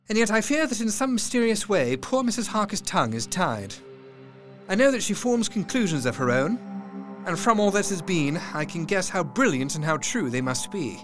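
A man's voice: high voice, a bit bright. An actor playing an earnest Victorian doctor, narrating his diary in thoughtful, formal speech. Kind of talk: audio drama diary narration.

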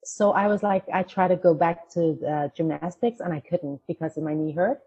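A woman's voice: on the low side, moderate pace, warm tone, slightly rough. A middle-aged woman from Denmark, a singer and podcast guest, chatting casually and laughing often between phrases. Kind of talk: casual phone interview. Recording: dull lo-fi phone line with faint hiss.

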